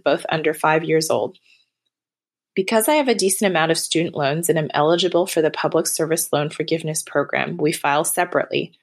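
Woman in her 30s, caller, low voice, matter-of-fact, reading a prepared question.

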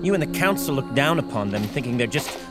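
A man acts out a proud young lord in a fantasy audio drama. His male voice is intense and formal, swinging widely in pitch, with music clearly audible behind it. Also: lively intonation, fast talker, close to an everyday voice. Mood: defiant. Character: proud, hot-headed young nobleman, eager for war.

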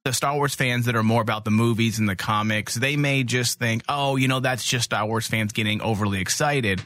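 A man's voice: medium pitch, a little fast, a bit bright, dry recording. A man co-hosting a fan podcast in casual chat, emphatic and joking in the way he talks. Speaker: podcast co-host.

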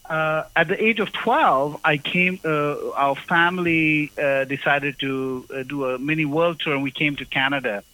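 A man raised in Zambia speaks as an interview guest in a bright, slightly tense voice, pitched a touch high. He talks haltingly, circling back with fillers and restarts. The sound has a broadcast-radio quality. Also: poor audio. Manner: hesitant, many fillers and restarts. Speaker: guest, university professor. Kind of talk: conversational interview.

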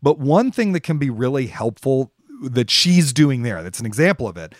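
A man with a very lively, swooping delivery and a mid-pitched voice, chatting as a podcast co-host. He is emphatic and informal, and the sound is dry and close. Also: normal speed, dry recording. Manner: emphatic, informal, mildly profane. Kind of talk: casual, animated conversation about creative work.

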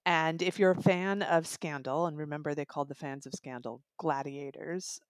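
A woman's voice: low-pitched, fairly even intonation, normal speed, no echo. A woman giving a conversational book review as part of a discussion. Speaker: audiobook reviewer and podcast guest.

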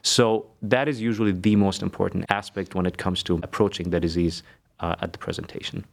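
A man from Egypt, a neurologist, giving measured technical explanations as a guest on a medical podcast. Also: deep voice, steady tone, creaky. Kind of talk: expert medical interview.

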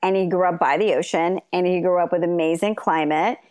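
A middle-aged woman with an American accent telling her life story as an interview guest, animated and quick to jump up in pitch for emphasis. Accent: General American. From London, then Los Angeles from age nine. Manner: animated, rising to high emphasis, laughs while talking.

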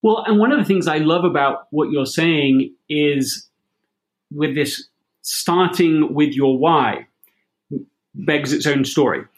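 Male podcast host, slightly high voice, reflective and conversational, builds on what the guest says. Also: slow pace, poor audio. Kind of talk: conversational interview.

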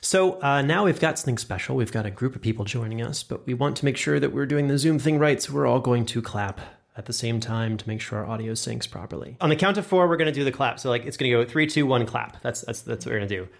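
Male host, medium pitch, informal group chat, conversational delivery. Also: expressive, fairly quick, creaky.

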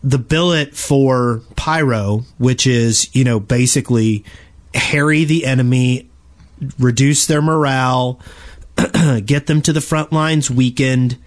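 A man co-hosting a gaming podcast, explaining his points casually and haltingly, often doubling back on his words. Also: medium pitch. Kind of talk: casual panel discussion about video games.

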